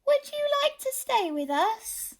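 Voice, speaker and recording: A woman's voice: very high-pitched, sing-song, a little slow, pitched above the performer's own voice, full character voice. A woman voicing a small, timid but plucky storybook creature with a hesitant, earnest manner. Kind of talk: children's storybook character voice.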